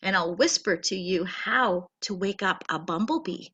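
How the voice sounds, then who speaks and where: A woman's voice: mid-range voice, normal speed, sharp tone, breathy edge, dull audio. A woman voicing a wise old male groundhog who gives kindly advice, in a storybook reading. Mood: wise, encouraging.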